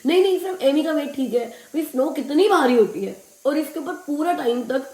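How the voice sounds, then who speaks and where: A woman from India with a naturally husky voice, hoarse and strained today, chatting casually to camera as a family vlogger and laughing often. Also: high voice, animated, brisk, mellow, roomy sound.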